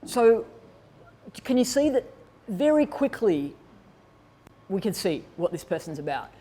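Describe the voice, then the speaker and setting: A woman's voice: mid-range voice, very animated, a little slow, dark tone, husky. A woman presenting a workshop in an informal, conversational way, explaining and thinking aloud as she demonstrates. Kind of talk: informal instructional talk to attendees.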